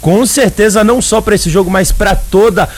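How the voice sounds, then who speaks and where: Bahian guy hosting football radio, kinda high voice, chatty with fans, music underneath. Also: normal speed, slightly rough. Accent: Brazilian, Bahian. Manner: chatty, addresses listeners directly. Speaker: radio sports show host. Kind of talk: lively football talk taking listener messages. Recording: radio broadcast over background music.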